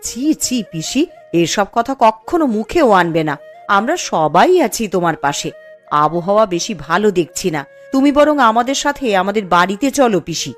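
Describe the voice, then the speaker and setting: A woman's voice: medium pitch, very animated, normal speed, faint music behind, natural voice. A woman voicing a caring, fretful younger village woman in a cartoon story, with an entreating manner. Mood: concerned.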